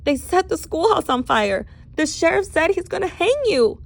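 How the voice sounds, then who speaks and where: A woman's voice: extremely high pitch, lively intonation, moderate pace, vocal fry, voice pushed up, exaggerated voice. A woman voicing a distraught, defiant young schoolteacher, urgent and pleading, in a storybook reading. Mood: panicked.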